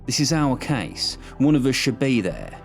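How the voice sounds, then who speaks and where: A man's voice: mid-range voice, huge pitch swings, moderate pace, creaky, soft background music, voice pushed up. A man voicing a blunt, impatient male detective who swears freely, in an audiobook.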